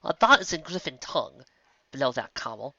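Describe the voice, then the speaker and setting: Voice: mid-range voice, very animated, a little fast, pushed and bright, dry recording, lo-fi sound, exaggerated voice. A performer voicing a prim, serious, educated female pony musician who speaks formally and precisely in a story reading. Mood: matter-of-fact.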